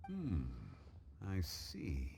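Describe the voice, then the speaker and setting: A man playing a learned, composed gentleman in a video game, speaking in a formal, measured, courteous way. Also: deep voice, huge pitch swings, a little hiss or hum, full character voice. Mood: thoughtful. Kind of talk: animated game cutscene dialogue. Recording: music behind the voice.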